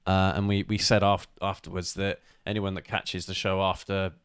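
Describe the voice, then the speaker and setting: A man's voice: low voice, level tone, normal speed, clear, resonant tone, dry recording, studio quality. A man co-hosting a casual motorcycle live stream with his wife, greeting viewers by name.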